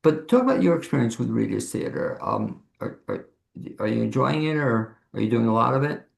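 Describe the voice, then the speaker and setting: A man's voice: medium pitch, big pitch swings, a little fast, vocal fry. A man hosting a conversational interview show over a video call from an echoey room. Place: home office studio, on a video call.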